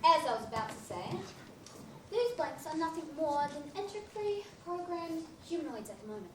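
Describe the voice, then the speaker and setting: A woman's voice: high voice, animated, fairly quick. A woman playing an earnest, self-important lab technician who explains things in technical terms in a comic play. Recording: echoey noisy room from far off.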